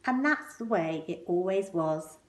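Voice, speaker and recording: A woman reading a children's picture book aloud expressively, with measured, emphatic phrasing and pointed pauses. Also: lowish voice, lively intonation, slight background noise. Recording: roomy, echoing indoor sound.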